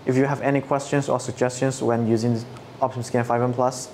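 Flat-toned man explaining software steps. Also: medium pitch, fairly quick, slight background noise. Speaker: product trainer. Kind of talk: scripted tutorial narration. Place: studio set with dark backdrop. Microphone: lapel mic.

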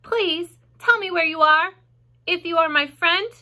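Woman voicing an excitable, high-pitched young pig. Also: normal speed, exaggerated voice. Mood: loud, determined. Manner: formal, pleading politeness. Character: eager, polite, excitable young male pig.

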